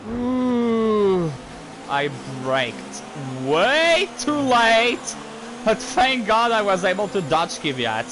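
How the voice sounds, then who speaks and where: French-native male gamer; slow, lively-pitched rambling commentary, engine noise behind. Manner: rambling, easily distracted, quick outbursts. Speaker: gaming YouTuber. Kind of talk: casual gameplay commentary. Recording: gameplay audio with clear engine noise.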